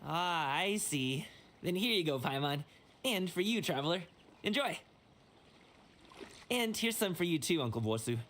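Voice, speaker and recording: A woman's voice: fairly low pitch, expressive, unhurried, long pauses, a little hiss or hum. A woman voicing a friendly, chatty, considerate young travel guide in a video game, with an easygoing manner.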